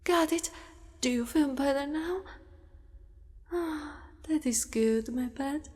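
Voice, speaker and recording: In a long reverb, a woman acts out a possessive spider-monster woman for an ASMR roleplay. She speaks very slowly, often dropping to a whisper. Her manner is menacing yet doting, coaxing and deliberate. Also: high voice, darkish tone. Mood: tender, wistful. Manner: slow, deliberate, sinister coaxing. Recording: long reverberant cave-like echo.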